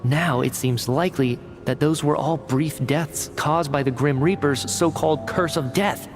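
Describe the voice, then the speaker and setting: An actor playing an earnest, analytical researcher in a video game, articulate and inquisitive, reasoning methodically. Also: male voice, fairly high pitch, measured pace. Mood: thoughtful. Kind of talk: video game dialogue acting. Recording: studio voice under clear game music. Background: background music.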